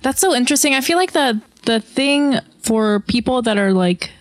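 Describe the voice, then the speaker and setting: A woman's voice: on the high side, expressive, moderate pace, dry recording. A woman hosting a podcast, asking curious questions and reflecting hesitantly with many fillers. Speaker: podcast host. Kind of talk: casual podcast conversation.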